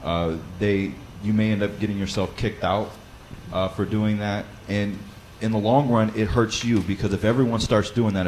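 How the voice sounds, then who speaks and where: A man with a fairly low, loud voice talks through practical advice like a teacher walking a class through it. He speaks conversationally, often pausing on fillers and restarting phrases. A faint hum of background noise sits under the recording. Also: rough recording. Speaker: Bible study teacher. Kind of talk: instructional talk.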